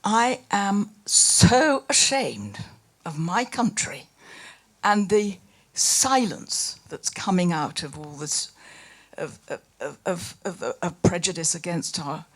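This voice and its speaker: A woman in her eighties from Britain gives an impassioned audience comment, stumbling over repeated words. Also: fairly low pitch. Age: 80s. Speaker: audience member asking a question.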